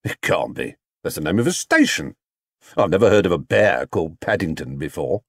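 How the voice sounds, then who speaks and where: A man's voice: sits low, sing-song, normal speed, no echo, studio quality, heavily acted. A man voicing a stern, officious railway inspector in a storybook, pompous and official in manner. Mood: disbelieving, severe.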